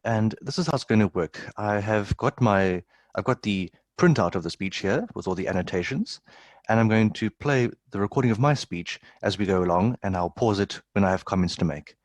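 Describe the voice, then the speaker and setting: Low-voiced interpreter. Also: male voice, a little fast, dry recording. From South Africa. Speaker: interpreter, guest presenter. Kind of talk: tutorial presentation.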